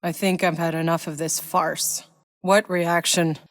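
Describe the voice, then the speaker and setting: Woman acting tough sci-fi outrider woman, long reverb, measured pace, sardonic, gritty, video game dialogue. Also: lowish voice, little pitch movement, close to an everyday voice. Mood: indignant. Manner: gritty, wry, profane.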